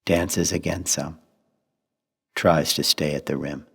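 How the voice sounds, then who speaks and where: A male narrator reads poetic radio play text in sparse, measured phrases. His very low voice is heavily gravelly and husky, over faint music. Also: fairly even intonation, fairly bright tone, long reverb tail.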